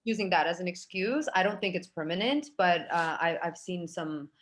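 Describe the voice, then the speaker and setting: Woman podcast guest, lower voice, halting, keeps restarting her sentences. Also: slight background noise. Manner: halting, many restarts and fillers. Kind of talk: casual podcast discussion.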